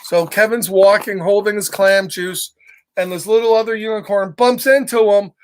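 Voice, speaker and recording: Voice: medium pitch, fairly even intonation, moderate pace. A children's storyteller reading a picture book casually, in simple repeated phrases, checking in with listeners.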